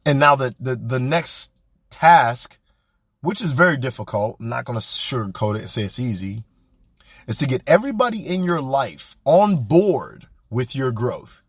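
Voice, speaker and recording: A man's voice: medium pitch, normal speed, slightly rough, no echo. A young man, hosting a podcast and giving a blunt, colloquial motivational talk. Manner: animated, preachy, blunt and colloquial. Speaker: podcast host. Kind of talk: motivational monologue.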